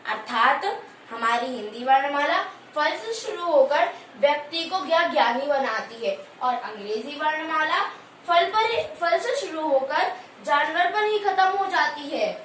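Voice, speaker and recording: A woman's voice: high voice, expressive, measured pace, a bit bright. A woman playing a female student in an amateur skit, reciting her lines in an earnest, declamatory way. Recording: echoey room, distant mic, faint hiss.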